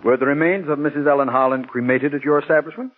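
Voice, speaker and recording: An actor playing a relentless, formal prosecutor who fires insistent questions in a 1940s radio courtroom drama. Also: male voice, a little high, fast talker, natural voice. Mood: brisk, formal. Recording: muffled, hissy 1940s radio broadcast.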